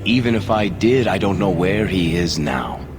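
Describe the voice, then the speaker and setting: An actor playing a wry, evasive storyteller being questioned in a video game cutscene, coolly teasing and sardonic. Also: male voice, sits low, lively intonation, normal speed. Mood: wry, guarded. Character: wry, evasive male storyteller under interrogation. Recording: game audio with music bed. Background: cinematic game music.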